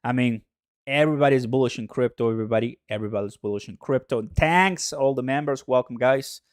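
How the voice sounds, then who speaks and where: A man from Mexico, a native Spanish speaker with a Mexican accent, hosting a livestream in an excitable, hype-driven way and repeating phrases for emphasis. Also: medium pitch, brisk, clear, resonant tone, no echo, studio quality. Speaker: livestream host. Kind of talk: excitable livestream commentary to viewers.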